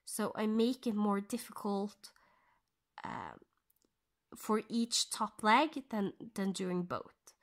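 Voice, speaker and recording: A woman from Norway with a Norwegian accent, speaking English as a second language, explaining her cross-stitching in a soft, half-whispered way, pausing as she thinks aloud. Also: mid-range voice, animated. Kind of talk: casual stitch-along tutorial.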